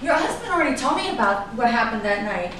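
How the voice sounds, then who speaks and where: A woman's voice: on the high side, big pitch swings, fast, a bit bright. A woman playing a defiant, guarded actress in a staged drama reading, with a sarcastic, cutting delivery. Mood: suspicious, curt. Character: defiant, guarded actress in love with a suspected man. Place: echoey room at a staged reading. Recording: echoey room, distant mic, noisy background.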